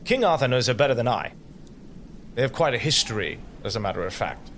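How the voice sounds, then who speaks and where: An actor playing an earnest, virtuous knight with a tormented sense of honor in an audio drama. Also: male voice, medium pitch, a little fast, a little hiss or hum. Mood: brisk, concerned. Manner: earnest, solemn, expressive.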